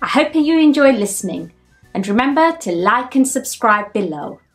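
A woman in her thirties reading a children's picture book aloud at home, gently and expressively, lifting her voice for the characters' lines. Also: normal speed, faint music behind. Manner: gentle, expressive storytelling with raised-pitch character quotes. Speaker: storytime host. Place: home room with bookshelves, indoors.

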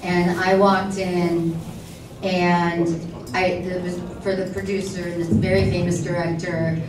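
An actress on a convention panel telling animated audition anecdotes. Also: female voice, fairly low pitch, takes it slowly, noisy background. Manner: animated anecdotes, quotes others. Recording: echoey hall from far off.

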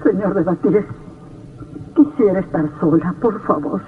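A woman's voice: mid-range voice, unhurried, background noise, close to an everyday voice. An actress playing a weary, sorrowful mother in vintage radio melodrama, with a plaintive delivery. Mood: bitter, tearful. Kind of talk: vintage radio drama acting. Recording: tinny, distant old radio.

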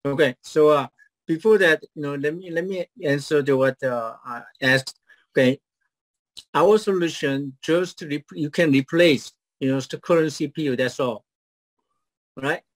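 A middle-aged man with a Korean accent, a startup founder giving a rehearsed pitch and then answering questions haltingly with many fillers over a video call. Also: no room sound, poor audio. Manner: hesitant, many fillers and restarts when answering. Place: home office on video call. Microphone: video call webcam.

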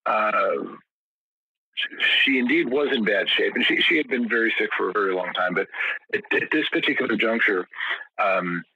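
A man's voice: on the low side, moderate pace, slightly rough, dry recording, highs cut off. A man guest in an interview, telling long stories with many restarts and repeated words. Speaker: guest musician. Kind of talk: casual interview storytelling.

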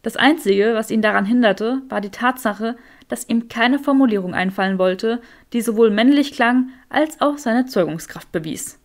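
Higher-pitched woman narrating an audiobook. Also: moderate pace, hardly any pauses. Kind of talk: scripted narration of fan fiction.

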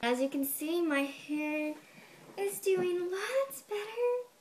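A young woman vlogging to her webcam from her bedroom, with a high, girlish voice, chatty and a bit defensive, saying "like" a lot. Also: lively intonation, slow, a little mellow, a little hiss or hum. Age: young adult. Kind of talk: casual to-camera explanation. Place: bedroom, indoors. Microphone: webcam at desk.

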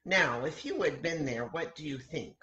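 Older woman, 60s, children's storyteller; low register, deliberate and pausing, roomy home webcam sound. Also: lively intonation, pushed and bright. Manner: simple, deliberate phrasing with frequent pauses. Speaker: children's story time host at a church. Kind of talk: storytelling to children, reading aloud. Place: home kitchen, indoors.

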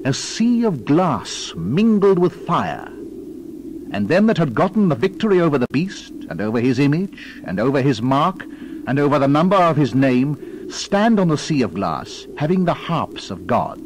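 A man's voice: on the high side, sing-song, normal speed, a little hiss or hum, low-quality recording. A man narrating a dramatized scripture reading in a solemn, theatrical, declamatory manner. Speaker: Bible narrator.

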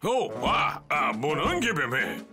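Older cartoon fire chief, pompous, flustered, lively pitch swings, loud music behind. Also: male voice, measured pace. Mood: excitable, flustered. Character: blustering, excitable older male fire station chief. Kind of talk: animated children's series dub.

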